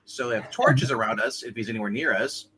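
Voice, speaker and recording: A man's voice: mid-range voice, a little fast, a bit bright, a little hiss or hum, rough recording. A man playing a tabletop game, chatting and bantering casually with the group.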